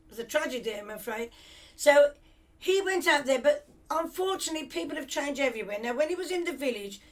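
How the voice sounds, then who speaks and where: An older woman with a high, light voice recalls her family's past at an unhurried conversational pace. She drifts and restarts as memories come back, reflective rather than polished. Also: lively intonation. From England, of Cypriot family. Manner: rambling, reflective storytelling with restarts. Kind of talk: reminiscing conversation.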